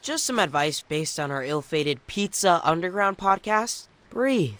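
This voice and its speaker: A man playing an earnest, nerdy teenage mutant turtle in an animated game, eager and matter-of-fact. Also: fairly high pitch, measured pace. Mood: dry, advising.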